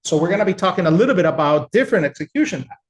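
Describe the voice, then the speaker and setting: A man from El Salvador giving a relaxed, conversational technical webinar with frequent fillers. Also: high-pitched, rapid-fire, roomy sound. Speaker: webinar presenter.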